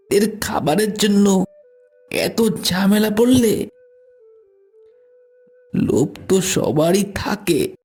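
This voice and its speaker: A man playing a kindly old woodcutter uncle, gently moralizing in a creaky voice in a cartoon fable. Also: high-pitched, heavily acted. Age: older. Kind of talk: Bengali moral-story cartoon voice acting.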